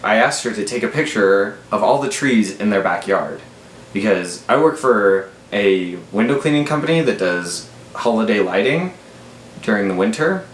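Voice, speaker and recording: Casting: American male, 20s, lowish voice, animated casual storyteller, recorded in an echoey room. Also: moderate pace. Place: small room at home, indoors. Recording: roomy echo, faint background noise.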